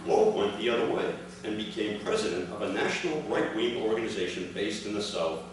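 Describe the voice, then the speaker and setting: A man reading a prepared first-person account aloud to an audience, in measured, separated phrases. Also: on the low side. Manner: measured public delivery in short, separated phrases. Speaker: reader of his father's written memoir at a commemoration. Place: large echoey room at an event, recorded from afar. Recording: echoey room, distant microphone, clear background noise.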